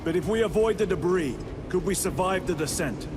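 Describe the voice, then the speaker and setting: Casting: steady veteran game lieutenant, terse authority, formal phrasing, a touch high for a male. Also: brisk. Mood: resolute. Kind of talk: video game cutscene acting. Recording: loud music and vehicle rumble.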